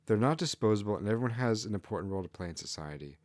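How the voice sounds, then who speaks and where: A man's voice: on the low side, animated, rapid-fire, darkish tone, no echo, studio quality, natural voice. A man voicing a principled, helpful female kitsune clan leader, confident and earnest, in a fantasy story. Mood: confident, resolute.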